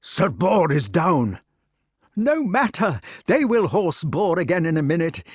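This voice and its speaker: Man voicing an excitable old king. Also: unhurried, slightly rough, no echo, clean studio sound, exaggerated voice. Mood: excited. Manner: high-pitched, breathless, exclaiming.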